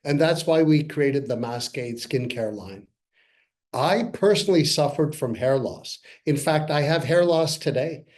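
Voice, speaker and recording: Sixties male co-founder, earnest and measured, pitching investors over video call. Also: darkish tone. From Canada. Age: 60s. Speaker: company co-founder presenting to investors. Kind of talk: webinar presentation. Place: parents' home, by video call.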